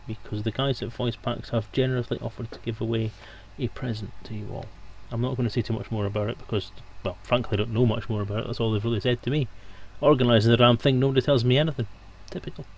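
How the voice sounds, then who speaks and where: A man's voice: mid-range voice, fairly even intonation, fast, few pauses, darkish tone, a little hiss or hum, rough recording. A man hosting a live stream on a headset microphone, chatting informally to viewers in a joking, digressive way. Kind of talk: casual rambling livestream chat to viewers.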